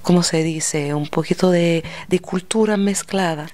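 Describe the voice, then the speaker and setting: A man's voice: fairly high pitch, fairly even intonation, measured pace, a little mellow. A native French-speaking man with a French accent, hosting a music TV interview.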